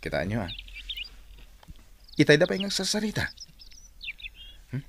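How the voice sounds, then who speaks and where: A man's voice: a little high, wildly swooping pitch, normal speed, noisy background. A man playing a nosy, teasing companion in a radio drama. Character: nosy, teasing male companion watching lovers. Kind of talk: Ilocano radio drama acting.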